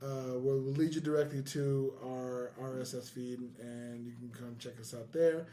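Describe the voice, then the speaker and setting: A man's voice: medium pitch, fairly even intonation, normal speed, dark tone. Jokey middle-aged man hosting a podcast. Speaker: podcast host. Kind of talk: casual chat. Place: indoors, in person with co-hosts.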